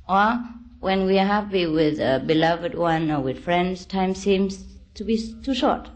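Slow-speaking woman giving a spiritual discourse. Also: fairly low pitch. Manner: deliberate, halting phrasing with gentle reassurance. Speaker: spiritual teacher.

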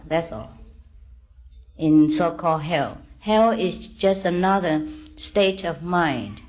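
Slow-speaking woman giving a spiritual discourse. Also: medium pitch. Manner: deliberate, halting phrasing with gentle reassurance. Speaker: spiritual teacher.

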